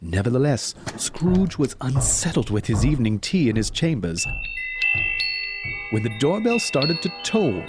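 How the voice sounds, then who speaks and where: A man's voice: mid-range voice, sing-song, unhurried, over loud music. A man narrating a scripted audio drama as a dramatic storyteller.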